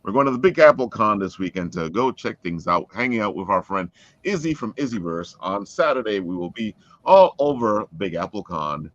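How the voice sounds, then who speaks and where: Deep-voiced, animated male stream host. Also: moderate pace, no room sound, dull audio. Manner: upbeat, jokey, uses catchphrases. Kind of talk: casual show hosting banter.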